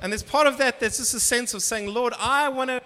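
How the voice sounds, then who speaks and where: A man preaching a sermon in short bursts, asking rhetorical questions and pausing for an interpreter. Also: very high voice, pushed and bright. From not from Brazil. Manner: emphatic, rhetorical questions, pauses for translation. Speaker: guest preacher.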